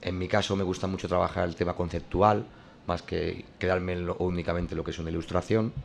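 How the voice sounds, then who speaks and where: A comic artist talks through how he works, his voice very low and gravelly. He stops and restarts often. A faint hiss sits behind him. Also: little pitch movement, brisk. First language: not French. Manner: hesitant, many restarts and fillers. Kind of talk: explaining his drawing process.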